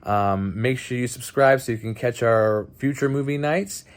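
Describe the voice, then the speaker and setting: A man with a medium-pitched voice hosts a casual movie review video. He talks bluntly and conversationally, halting as he gathers his opinion. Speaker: host of a movie night video channel.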